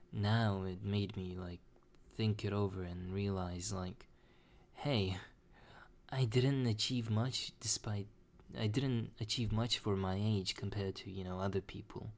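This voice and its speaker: A young man talking slowly and hesitantly in a personal confessional monologue, with many restarts. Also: on the low side, a little mellow. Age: young adult. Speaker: vlogger.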